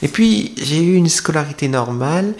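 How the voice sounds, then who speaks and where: A man's voice: a little high, animated, normal speed, a little mellow, voice pushed up. A man voicing a coy young femboy streamer in an intimate, teasing ASMR roleplay, talking confidingly to the listener. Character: coy young male femboy streamer serving in a maid café.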